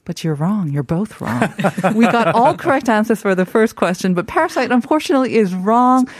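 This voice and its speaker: A woman's voice: medium pitch, expressive, fairly quick, dry recording, clean studio sound. A woman hosting a casual radio talk show, chatty and laughing easily as she steers the discussion.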